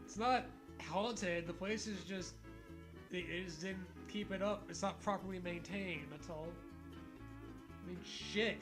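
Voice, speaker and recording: A man's voice: sits high, a little slow, over music. A man co-hosting a comic read-aloud of fan fiction, breaking into sarcastic, sweary casual banter. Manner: sarcastic, profane riffing.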